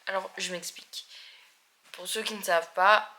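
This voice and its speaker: A woman's voice: medium pitch, steady tone, moderate pace, sharp tone. A young woman from Belgium chatting casually to camera in a travel vlog, with chatty, self-mocking asides. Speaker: vlogger.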